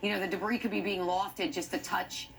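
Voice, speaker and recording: A woman's voice: mid-range voice, steady tone, brisk, creaky, slight background noise, poor audio. A woman with an American accent giving live severe weather commentary, urgent and thinking aloud as she reads the radar. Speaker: TV weather forecaster.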